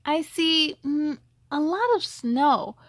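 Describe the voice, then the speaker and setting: A woman's voice: high voice, expressive, takes it slowly, no room sound, clean studio sound. A woman voicing an eager, curious young boy in a children's bedtime storybook. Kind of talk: children's storybook read-aloud.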